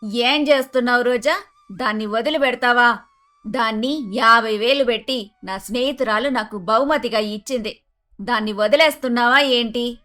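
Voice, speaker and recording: A woman playing an emphatic, emotional middle-aged mother-in-law in an animated moral story. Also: on the high side, moderate pace. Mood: indignant. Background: faint music.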